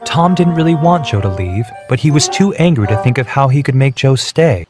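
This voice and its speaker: A man's voice: medium pitch, animated, brisk. A man reading scripted narration for a children's storybook, with music behind him. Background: background music.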